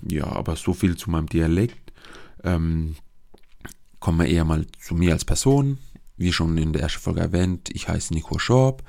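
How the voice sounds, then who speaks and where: A man's voice: deep voice, moderate pace, gravelly, darkish tone. A young man in his twenties with a regional dialect, hosting his own podcast in an earnest, hesitant monologue. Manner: earnest and searching, with frequent hesitations and restarts. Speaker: podcast host, social worker.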